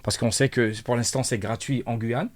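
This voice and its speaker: A man's voice: medium pitch, fairly even intonation, moderate pace, no room sound. A man hosting a live radio interview, asking wordy, hesitant questions that circle back on themselves. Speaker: radio host. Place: radio studio.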